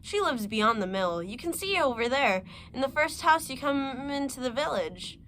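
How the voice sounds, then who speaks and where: A woman voicing an innocent, chatty little girl, speaking in a higher, eager and naive voice. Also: very animated, moderate pace, a bit bright, slight background noise.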